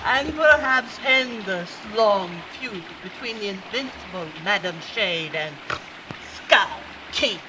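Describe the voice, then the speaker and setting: A woman's voice: mid-range voice, lively intonation, slow. A woman playing a gloating, menacing female mastermind in an old-time radio adventure. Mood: gloating menace. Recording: live stage recording with clear background noise.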